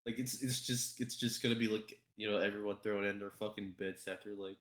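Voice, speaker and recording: A man with a fairly low voice hosts a casual gaming podcast. He rambles, circles back on his words and swears freely. The recording has a clearly roomy echo. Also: fairly even intonation, a little fast, a bit bright. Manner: rambling, repetitive, heavy swearing and filler words. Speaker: podcast host. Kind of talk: casual group podcast chat about games and fandubbing.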